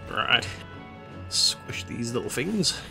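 A man hosting a let's play, with his nose a little stuffed up from a cold. He rambles casually through his gameplay with plenty of fillers, at a middle pitch, over faint game music. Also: takes it slowly, gravelly. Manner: relaxed, rambling, with frequent "uh" fillers. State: slightly congested nose from a cold.

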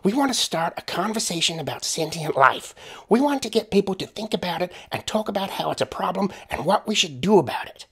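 A man's voice: sits high, lively intonation, fairly quick, a bit bright, husky, voice pushed up, exaggerated voice. A man voicing a cheerful, amiable American woman earnestly spreading her creed in an audiobook. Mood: earnest, calm. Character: cheerful, unflappable short stout American woman, zealous doorstep evangelist. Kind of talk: audiobook character voice.

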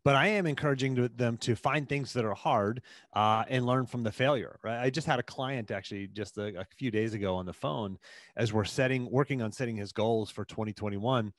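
A man in his forties, a performance coach, answering interview questions in an earnest, animated way from a home office, talking into a studio mic. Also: mid-range voice, fairly quick, no echo. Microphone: studio mic in front of him.

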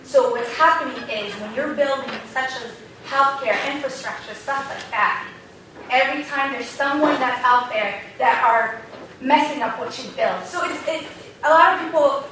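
A woman's voice: high-pitched, normal speed, bright, forceful tone. A woman from Somalia making a spontaneous comment as an audience member in a large lecture hall. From Somalia, moved to America young. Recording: echoey hall, distant mic, some noise.

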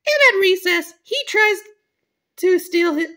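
A woman acting an indignant, complaining character in an amateur school cartoon story, with a whiny, halting delivery. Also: extremely high pitch, animated, pushed and bright, full character voice. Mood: aggrieved. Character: indignant, tattling schoolteacher and wronged young bear pupil. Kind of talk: amateur role-played children's cartoon story.

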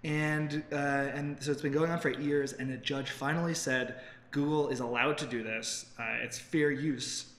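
A man's voice: mid-range voice, steady tone, moderate pace, a bit bright. A man in his twenties co-hosting a tech news show, explaining things informally and rephrasing as he goes. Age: 20s. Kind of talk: casual explanatory chat about tech news. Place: open-plan office, indoors on a couch. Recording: roomy, echoey office, faint background noise.

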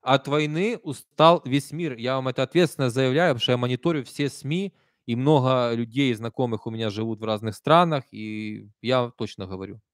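A man in his thirties with a Kyiv accent talks conversationally into a close desk mic, leaning into certain points and then dropping to softer asides. His voice sits at an ordinary middle pitch. The room sounds dry, with no echo. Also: brisk. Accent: Kyiv Russian. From Ukraine. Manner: conversational, emphatic, soft-spoken asides. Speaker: streamer reading viewer comments. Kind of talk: live stream chat. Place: small room indoors. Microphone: desk stand mic close up.

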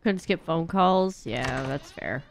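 A woman's voice: sits low, animated, slow pace, vocal fry, slight background noise. A young woman giving casual, playful live commentary while streaming a video game, with mock exasperation. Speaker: streamer, speedrunner.

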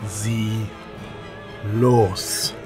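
Halting, shaken teenage boy hero man acting. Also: fairly low pitch, fairly even intonation, music in the background. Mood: shaken defiance. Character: shocked teenage boy, hesitant aspiring hero.

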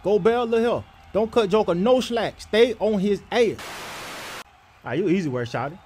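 A man's voice: high-pitched, lively intonation, moderate pace, a little mellow, a little hiss or hum. A man doing comedic sports parody voiceover, with brash, slangy trash talk.

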